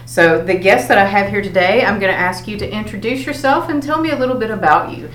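A woman's voice: mid-range voice, fast talker, fairly bright tone. A woman teacher hosting a school podcast, moderating a panel of students in an encouraging way. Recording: echoey room, faint hum.

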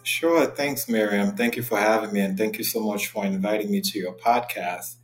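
A man's voice: lowish voice, moderate pace, faint music behind, rough recording. A man in his thirties from Cameroon, a podcast guest talking earnestly and reflectively in a casual interview. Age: 30s.

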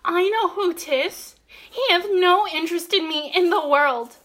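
A woman's voice: very high-pitched, a little slow, fairly bright tone. A woman playing a simple, blunt young country wench in a Shakespearean radio play. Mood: indignant.

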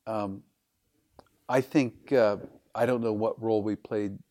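A man hosting an interview, asking earnest, measured questions about a guest's background. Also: fairly low pitch, fairly even intonation, darkish tone. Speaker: interviewer, business school representative. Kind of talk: conversational interview.

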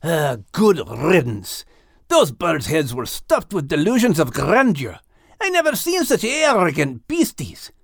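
A man voicing a gruff, grumbling male animal with a Scottish accent and blunt, folksy humor. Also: on the high side, wildly swooping pitch, a little slow, no echo, clean studio sound, heavily acted.

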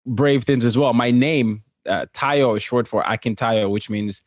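A man from Nigeria, raised across several countries, speaking as an interview guest in casual, personal storytelling with an animated manner. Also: medium pitch, a little slow, dry recording, studio quality. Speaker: interview guest, cultural translator and consultant.

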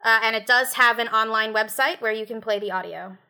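A woman's voice: a little high, fairly quick, pushed and bright, clean tone. A woman speaking as a critic on a panel, informative and precise.

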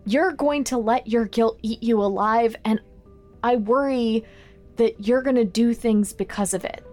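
A woman's voice: fairly high pitch, measured pace, a little mellow, soft background music, close to an everyday voice. An actress playing a worried, protective friend in an audio drama, hesitant and earnest, stressing her words. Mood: worried, pleading.

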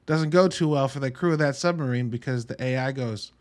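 A man's voice: mid-range voice, a little fast, darkish tone. A man hosting a podcast solo, talking through a movie review in a wry, conversational way with emphatic asides. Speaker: podcast host.

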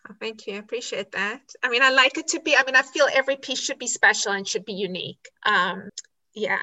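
South african lady chatting on a podcast, bright lively tone, lots of ums. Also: a little high, poor audio. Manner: chatty and candid, with frequent "um" pauses and self-corrections. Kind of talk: podcast interview.

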